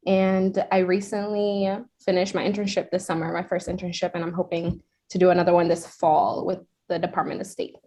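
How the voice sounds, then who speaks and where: A woman's voice: on the low side, completely flat delivery, brisk, few pauses, warm tone. Young woman from Tanzania, student. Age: young adult. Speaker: student resident assistant. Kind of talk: self-introduction.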